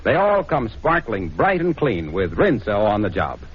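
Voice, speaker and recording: Male vintage program announcer, very lively intonation, scripted sponsor copy, dull old broadcast sound. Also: fairly low pitch, normal speed, slightly rough. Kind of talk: 1940s radio announcing, scripted. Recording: muffled 1940s radio recording.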